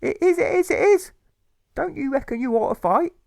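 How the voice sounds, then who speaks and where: A man's voice: extremely high pitch, animated, normal speed, creaky, a little mellow, no room sound, pitched above the performer's own voice, full character voice. A man voicing an overwrought, nagging mother, histrionic and repeating phrases in wails, in a storybook reading. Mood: pleading, insistent. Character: shrill, overwrought, nagging mother, fussing and guilt-tripping. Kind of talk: theatrical rhyming audiobook.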